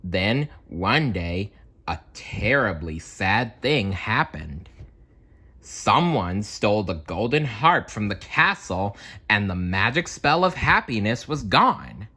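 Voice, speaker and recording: A man reading a children's storybook aloud as an expressive storyteller, theatrical and deliberate in his delivery. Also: lowish voice, breathy edge.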